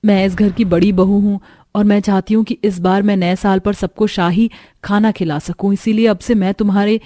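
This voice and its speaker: A woman voicing a gentle, hardworking elder daughter-in-law in a cartoon story, earnest and soft-spoken. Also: mid-range voice, fairly even intonation, normal speed, no room sound, natural voice. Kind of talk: Hindi cartoon story dialogue.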